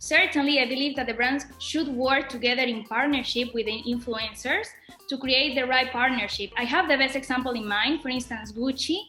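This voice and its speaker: Woman, high bright voice, chatty guest, echoey room over a call. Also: fairly quick, faint music behind. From Venezuela. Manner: earnest and expansive, with small grammar slips. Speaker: guest luxury marketing expert. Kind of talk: podcast interview.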